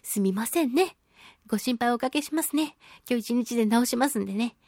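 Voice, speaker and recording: A woman voice actress hosting a chatty solo radio show, slightly nasal from a mild head cold, with an animated, playful and self-deprecating manner. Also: on the high side, fairly quick, no echo, studio quality. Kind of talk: chatty solo radio talk with listener mail.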